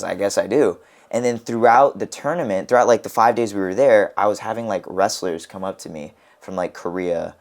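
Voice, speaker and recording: A man's voice: sits low, a little mellow. A young American man co-hosting a casual podcast, telling rambling stories full of "like" and restarts. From United States. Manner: rambling storyteller, heavy on "like". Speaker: podcast co-host and wrestler.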